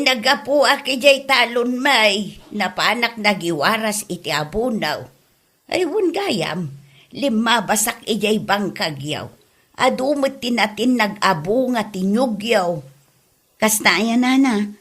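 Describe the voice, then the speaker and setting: A woman acting a chatty rural Filipino wife and mother in a comedy drama, with an Ilocano accent and an expressive, drawn-out colloquial way of talking. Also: on the high side, full character voice.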